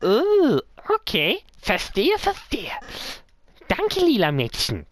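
A man's voice: high-pitched, wildly swooping pitch, takes it slowly, dry recording, voice pushed up, full character voice. A man voicing a boastful, eager little villain boy in a video game, stammering and theatrical. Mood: grateful, delighted.

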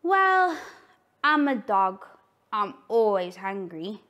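A woman's voice: mid-range voice, big pitch swings, slow pace, a bit bright. A woman voicing a cheeky young female dog who teases her sister. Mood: teasing.

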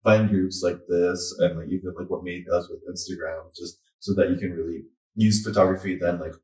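A man's voice: sits low, steady tone, brisk, darkish tone, recorded from a distance. A man chatting casually as a podcast guest, a little off-mic in an echoey room. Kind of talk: casual chat.

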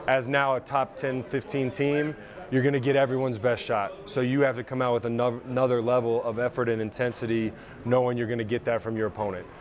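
A man in his thirties, a head coach giving a measured, analytical post-game interview on a lapel mic. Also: mid-range voice. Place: indoor arena interview backdrop.

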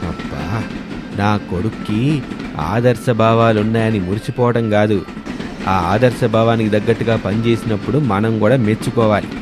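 A man voicing a patient, reasoning middle-aged father-in-law in an animated moral story, gently chiding his wife. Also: fairly low pitch, normal speed, over music, natural voice.

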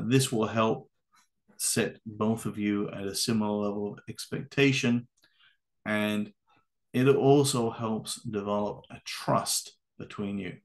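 Man, low-pitched voice, gravelly texture, measured explanatory tone, training narration. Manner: measured, instructive, with occasional fillers. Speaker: adaptive ski course presenter. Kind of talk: narrated slide lecture. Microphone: voice-over.

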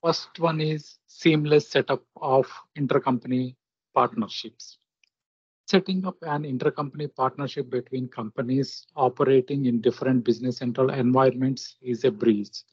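A man presenting a software tutorial step by step, in halting, short instructional phrases, on muffled screen-recording audio. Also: medium pitch, steady tone, husky, no room sound. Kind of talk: step-by-step software demo narration.

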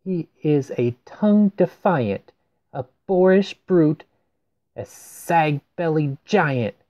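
A man's voice: on the high side, animated, slow, highs cut off, voice pushed up. A man voicing a brave, earnest young shepherd boy who declaims boldly in rhyme, for a storybook. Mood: defiant.

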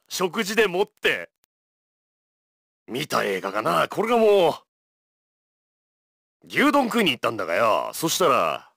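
An actor voicing a gruff, chatty male shopkeeper in casual video game dialogue. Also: on the high side, pushed and bright, no echo, studio quality, heavily acted.

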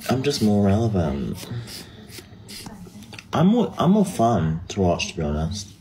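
A woman's voice: a bass voice, huge pitch swings, measured pace, dark tone, low-quality recording. A young woman speaking in a dismissive, boastful way about a rival streamer. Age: young adult. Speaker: livestreamer. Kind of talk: clip of a live stream.

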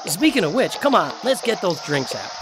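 Lively, teasing young guy, acted by a man. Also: high voice, moderate pace, loud music behind the voice. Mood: upbeat. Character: teasing, laid-back young male student friend. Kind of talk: radio drama acting.

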